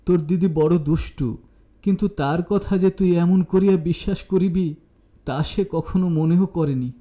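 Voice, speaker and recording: A man's voice: high-pitched, a little slow, mellow, dull audio, voice pushed up. A man voicing an impulsive young married woman in an audio story, exclaiming, scolding and pleading with emphatic delivery. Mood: remorseful pleading. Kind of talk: audiobook character dialogue.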